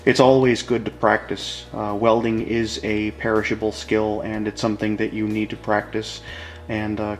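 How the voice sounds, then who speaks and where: A man explaining a hands-on demonstration in a casual, informal way, as an instructor talking to viewers. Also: on the low side.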